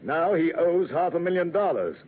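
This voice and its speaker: Salty old commodore villain, theatrical, highish animated voice, thin vintage radio sound. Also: male voice, normal speed. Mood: blustering. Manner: pompous, theatrical, nautical bluster. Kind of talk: 1940s radio acting. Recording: tinny, faintly noisy vintage radio recording.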